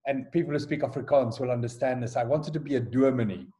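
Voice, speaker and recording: A man's voice: medium pitch, fast talker, warm tone. A South African man in his forties, a school headmaster, talking through his past in a casual podcast interview, often restarting his sentences. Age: 40s. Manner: hesitant, many restarts and repeated words. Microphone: call recording.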